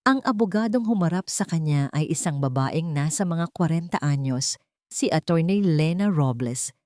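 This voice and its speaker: Woman narrating drama with lively, swooping pitch. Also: dry recording, studio quality. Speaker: story narrator. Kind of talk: scripted dramatic narration of a romance drama story.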